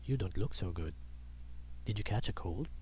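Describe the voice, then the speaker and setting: A man's voice: fairly low pitch, animated, moderate pace, a little mellow, a little hiss or hum, natural voice. A man voicing a furred adult soldier, a worried and protective friend who talks plainly.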